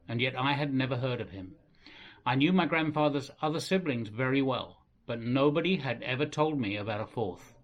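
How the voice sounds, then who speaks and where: A man in his sixties from England with an English accent, narrating his family history from his home in a gentle, unhurried way. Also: medium pitch, dull audio. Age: 60s. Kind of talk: reflective personal narration. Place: home in the Philippines.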